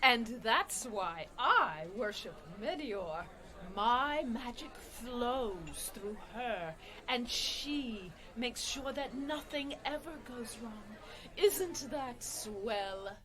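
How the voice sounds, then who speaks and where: Woman playing a sweet, very slow-spoken pious cleric. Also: a little high, expressive, pushed and bright, full character voice, poor audio. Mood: devout, sweet. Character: sweet, prim, naive pious female cleric adventurer. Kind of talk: comedy radio play acting.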